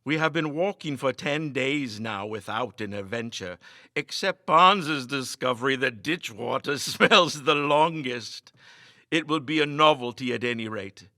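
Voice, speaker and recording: A man voicing a bland, wry, adventurous gentleman who teases his friends in a storybook reading. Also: mid-range voice, no room sound, studio quality.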